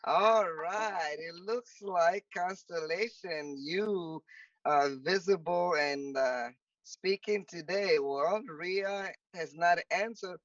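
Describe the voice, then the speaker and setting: A woman's voice: fairly low pitch, slow, no room sound. A woman from the Democratic Republic of Congo, a French native speaker, hosting an online panel in an upbeat, chatty way with many fillers. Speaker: host. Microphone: video call. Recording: muffled distant video call audio.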